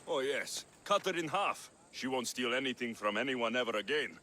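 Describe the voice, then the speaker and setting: Wry mercenary hero with lively pitch. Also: male voice, normal speed, a little hiss or hum. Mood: wry, deadpan. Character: gruff young mercenary hero, wry and confident. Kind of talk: video game cutscene dialogue. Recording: game music behind the voice.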